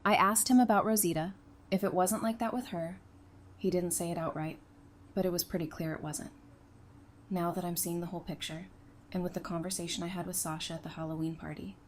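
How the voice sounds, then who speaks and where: A woman's voice: lowish voice, steady tone, fairly quick, slight background noise, close to an everyday voice. An actress playing a candid, caring, perceptive woman who talks casually and frankly in a fan audio drama. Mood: figuring it out.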